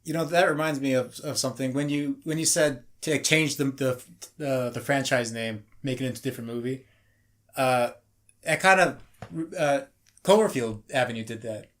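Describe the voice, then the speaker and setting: A man's voice: mid-range voice, fairly bright tone. Hesitant male podcast panelist. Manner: hesitant, halting, searching for words. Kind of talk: casual chat.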